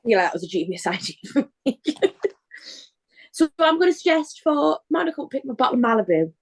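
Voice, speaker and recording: Bubbly woman with a lively high voice. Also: brisk, rough recording. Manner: bubbly, laughs often. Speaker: podcast co-host. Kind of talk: casual chat.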